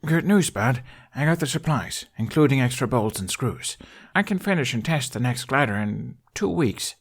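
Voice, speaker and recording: A man voicing a playful young man with an excitable, exclaiming manner, in a storybook narration. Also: mid-range voice, moderate pace, slightly rough, pitched above the performer's own voice. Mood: upbeat, affectionate. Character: playful, exasperated, affectionate young man, dragon's friend.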